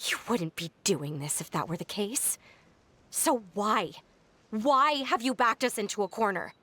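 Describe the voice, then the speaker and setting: A woman voicing a defiant, sharp-tongued young girl in a video game. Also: medium pitch, animated, measured pace. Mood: accusing, suspicious.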